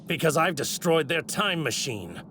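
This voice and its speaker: A man's voice: fairly high pitch, expressive, normal speed, noisy background, natural voice. A man playing an arrogant godlike villain in an English anime dub, with pompous, theatrical, formal delivery. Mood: smug. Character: arrogant godlike male villain in a stolen warrior body.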